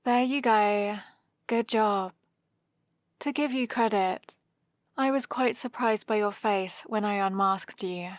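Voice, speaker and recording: A woman's voice: mid-range voice, takes it slowly, dry recording, close to an everyday voice. A British woman playing a haughty supervillain in an ASMR roleplay, dry, sardonic and commanding, with a grudgingly caring streak, close to the microphone. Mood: teasing, imperious. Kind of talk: ASMR roleplay, acted monologue to the listener.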